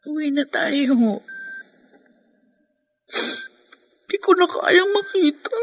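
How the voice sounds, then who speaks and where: A woman's voice: high-pitched, lively intonation, a little slow, faint music behind. A Filipino woman playing a weary, devoted young mother and wife in an emotional, pleading radio drama style. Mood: tearful pleading. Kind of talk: Tagalog radio drama acting.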